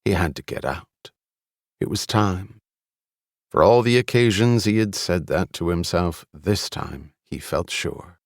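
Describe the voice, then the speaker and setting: An American man narrating an audiobook from a script, with deliberate, suspenseful, dramatic storytelling. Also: sits low, gravelly, dry recording, clean studio sound.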